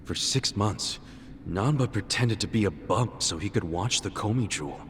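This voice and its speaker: An actor playing an earnest, stubborn, cheeky yakuza hero in an English game dub, casual and plain-spoken. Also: male voice, on the low side, expressive, moderate pace, a little hiss or hum, natural voice. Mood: casual, thoughtful. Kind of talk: English video game voice acting.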